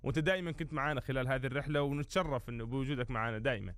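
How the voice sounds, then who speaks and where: A man's voice: medium pitch, rapid-fire, a bit bright, clear, resonant tone, dry recording. A man co-hosting a live sports radio show, presenting football talk and news.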